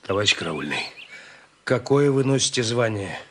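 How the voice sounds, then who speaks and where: A man playing a brisk, fair-minded army major with a commanding military manner in a children's audio story. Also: fairly low pitch, big pitch swings, slight background noise.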